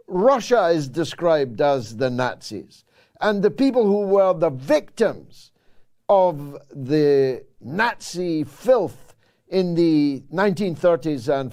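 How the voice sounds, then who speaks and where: A man's voice: high voice, darkish tone. A man in his sixties from Britain hosting a political commentary show, speaking in a declamatory, orotund way that rises to indignation. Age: 60s. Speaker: host.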